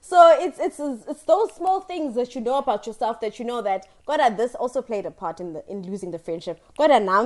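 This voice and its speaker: South african woman host, loud voice, fairly high pitch, earnest video call chat. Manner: earnest, code-switches into Zulu.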